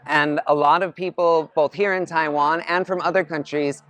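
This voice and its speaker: A man narrating a documentary voice-over in a measured, explanatory way. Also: fairly high pitch, fairly even intonation, a bit bright, no room sound.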